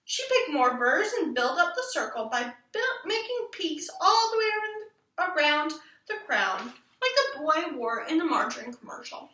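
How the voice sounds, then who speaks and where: A woman reading a children's book aloud in an expressive storytelling style. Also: sits high, normal speed. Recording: roomy echo, distant from mic.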